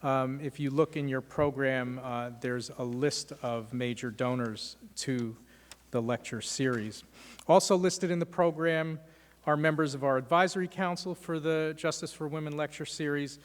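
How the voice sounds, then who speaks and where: A man hosting a law school lecture, giving measured welcoming remarks and thanks, with frequent "uh" fillers. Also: mid-range voice. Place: lecture hall. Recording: long hall reverb.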